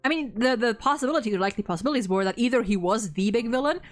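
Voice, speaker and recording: A woman host in a casual livestream chat, talking in an animated, blunt way and swearing freely. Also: a little high, very fast, faint music behind. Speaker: host ranking game story quests with a guest.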